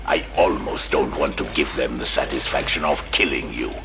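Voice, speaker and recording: A man acting a hulking, menacing, sardonic superhuman berserker warrior in an audio drama, gravelly and taunting. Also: very deep voice, normal speed, over music. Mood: brooding threat.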